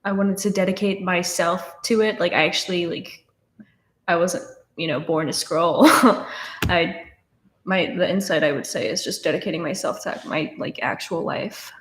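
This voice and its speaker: A woman's voice: lowish voice, normal speed, a little hiss or hum. A nineteen-year-old woman casually answering chat questions on a live stream, with lots of fillers and restarts. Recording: roomy echo.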